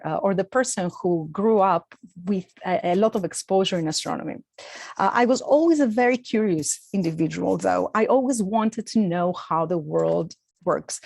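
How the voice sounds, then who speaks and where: Greek-accented woman, middle-aged, mid pitch, earnest presenter, dry close mic. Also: creaky, a little mellow, no room sound. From Greece. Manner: earnest, with frequent uh and um fillers. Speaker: astronomer giving a talk. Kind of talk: presented talk with slides.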